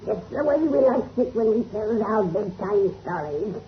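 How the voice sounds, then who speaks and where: A woman's voice: mid-range voice, expressive, a little slow, lightly acted. A woman playing a gleeful, ancient witch who tells horror tales in folksy rustic speech on an old radio show. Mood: ghoulish glee. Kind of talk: 1930s radio horror storytelling. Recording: muffled, hissy old radio recording.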